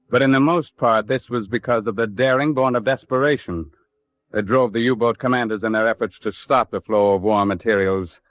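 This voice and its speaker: An actor playing a sober, formal reporter narrating a wartime radio documentary drama. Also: male voice, lowish voice, no echo, lightly acted. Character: sober male reporter narrating the Atlantic war.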